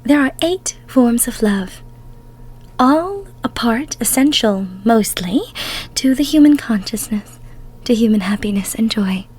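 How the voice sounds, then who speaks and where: A woman acts out a tender goddess of love in an intimate audio roleplay. Her voice sits fairly high and breathy, often close to a whisper. She speaks slowly, cooing and coddling the listener with doting, emotional care. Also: lively intonation, a little mellow. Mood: tender, advising. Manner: soothing, cooing, coddling with pet names.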